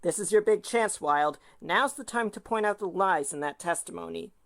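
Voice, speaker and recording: A woman's voice: lowish voice, lively intonation, normal speed, gravelly. A woman playing a patient mentor lawyer coaching a rookie in an amateur audio drama, earnest and instructive.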